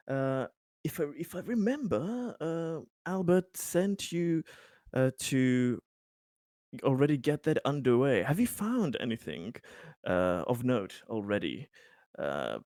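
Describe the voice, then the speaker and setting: A man playing an awkward, overly polite corporate man in a tabletop game, talking in halting, long-winded small talk. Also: medium pitch, a little mellow, no room sound, studio quality. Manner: halting, wordy, falsely casual small talk.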